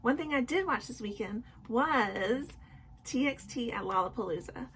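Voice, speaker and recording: Young woman, sore-throated and sick, casual home vlog, apologetic, filler-heavy, slightly high voice, faint room ambience. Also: animated, normal speed, a bit bright, slight background noise. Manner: apologetic, chatty, many "um"s and "like"s. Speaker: vlogger. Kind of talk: casual nightly vlog chat. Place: at home indoors at night.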